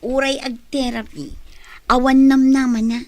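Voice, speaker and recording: High-pitched, scornful female accomplice. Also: little pitch movement, a little slow, no echo. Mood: scornful, conniving. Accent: Filipino, Ilocano. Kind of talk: Filipino radio drama acting.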